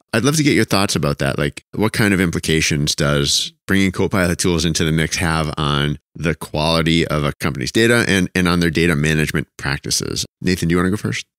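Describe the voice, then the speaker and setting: A man with an extremely low voice, recorded dry and close. He hosts an interview podcast and builds his questions in measured, thoughtful phrases. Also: a bit bright, clean tone, no echo. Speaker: podcast host.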